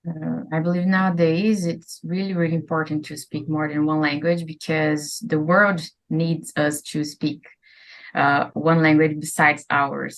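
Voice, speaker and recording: Low-voiced woman candidate. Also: low-quality recording. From Brazil. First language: Portuguese. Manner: careful, measured answers. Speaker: exam candidate.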